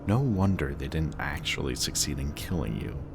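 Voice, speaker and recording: A man's voice: very deep voice, expressive, moderate pace, vocal fry, noisy background, heavily acted. A man playing a smug, guarded supervillain in an audio roleplay, teasing and commanding, grudgingly protective of the person he is addressing. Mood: wry, musing. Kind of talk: scripted roleplay audio drama addressed to the listener.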